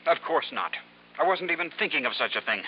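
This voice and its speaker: An actor playing a timid, henpecked nephew in a 1950s radio drama, hesitant, flustered and placating. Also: male voice, a little high, animated, quick pace, natural voice. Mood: meek protest. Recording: dull, clearly noisy vintage radio recording.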